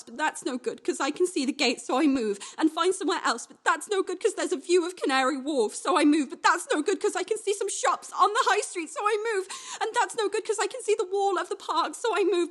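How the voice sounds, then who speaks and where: A woman playing a restless, wistful young woman in a confessional radio play monologue, speaking naturally in halting, repetitive phrases. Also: extremely high pitch. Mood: frantic. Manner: halting, repetitive, swinging from hushed to agitated.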